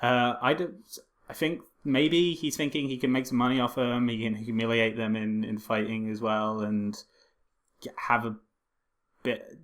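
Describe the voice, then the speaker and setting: A man co-hosting a casual film review, explaining in a wry, halting way and often repeating words. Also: mid-range voice, little pitch movement. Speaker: podcast co-host.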